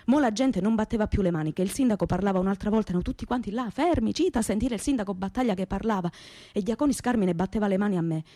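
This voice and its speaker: A woman's voice: medium pitch, very fast, mellow, dry recording, studio quality, lightly acted. An actress playing a fiery young working-class woman with a Calabrian southern Italian accent, telling her story colloquially and emphatically in a radio theatre monologue. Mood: animated recollection.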